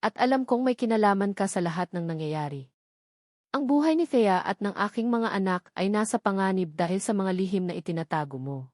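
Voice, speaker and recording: A woman's voice: medium pitch, animated, brisk, darkish tone, no room sound, studio quality, lightly acted. A Filipino woman voicing a devoted, protective young father with a firm, earnest manner in a narrated story. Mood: stern, demanding.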